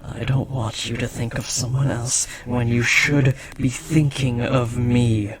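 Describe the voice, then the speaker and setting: A man voicing a powerful, possessive and devoted demon in an intimate audio roleplay, speaking formally and intensely with dramatic pauses. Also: medium pitch, fairly even intonation, a crowd in the background, natural voice.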